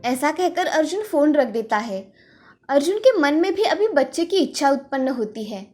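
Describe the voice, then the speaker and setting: A woman's voice: high voice, sing-song, normal speed, a little mellow, soft background music. A woman storyteller reading scripted narration for a moral tale.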